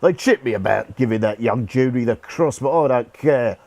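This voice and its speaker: An actor playing a cheerful, irreverent rough soldier's ghost in a radio play, talking in jaunty, colloquial speech. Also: male voice, medium pitch, lively intonation, moderate pace, a little mellow, no room sound. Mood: jolly, unrepentant. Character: cheeky, cheerful old-soldier ghost, rough common man. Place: actor's home.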